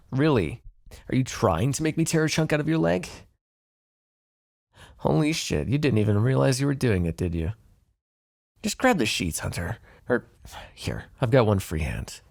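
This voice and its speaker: Guy doing a teasing possessive vampire man for asmr, gravelly, super dry close sound, sarcastic but fond. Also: mid-range voice, a little mellow, dry recording. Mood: impatient, flirtatious. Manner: sardonic, flirtatious, swearing, sighing. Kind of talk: intimate ASMR roleplay acting.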